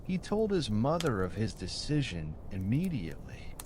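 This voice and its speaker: A man narrating a bedtime story in a soothing, measured, deliberate storybook style. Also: on the low side, wildly swooping pitch, darkish tone, slight background noise.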